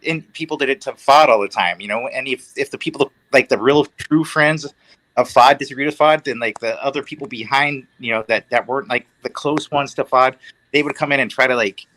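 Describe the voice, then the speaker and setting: A man's voice: mid-range voice, fairly quick, a bit bright, rough recording. A man speaking as a guest in a casual livestream chat, rambling and circling around his point.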